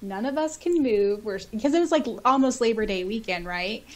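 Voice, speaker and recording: A woman with a fairly high voice co-hosts a podcast. She chats casually and reacts in an animated, exclamatory manner, like someone telling stories to a friend. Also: normal speed. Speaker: podcast co-host. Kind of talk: casual chat.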